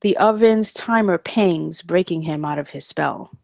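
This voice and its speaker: Low-pitched woman reading stage directions. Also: a little slow, vocal fry, no room sound, studio quality. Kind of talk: scripted narration at table read.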